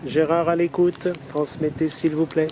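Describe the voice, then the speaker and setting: Higher-voiced man on ham radio, noisy outdoors. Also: little pitch movement, moderate pace. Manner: spells call signs in phonetic alphabet. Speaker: amateur radio operator. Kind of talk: ham radio contact, casual demonstration. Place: outdoors, portable station. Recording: noisy outdoor recording, vehicle and urban sounds.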